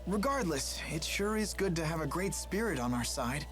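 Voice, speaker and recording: A man's voice: on the high side, animated, normal speed, fairly bright tone, faint music behind. A man voicing an earnest, polite teenage boy hero in a dubbed game cutscene, easily flustered.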